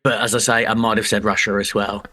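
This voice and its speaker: A man's voice: fairly low pitch, level tone, fast talker, sharp tone. An English man co-hosting a podcast, chatting casually with a joking, mock-serious manner and casual swearing. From England. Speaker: podcast co-host. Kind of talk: casual chat.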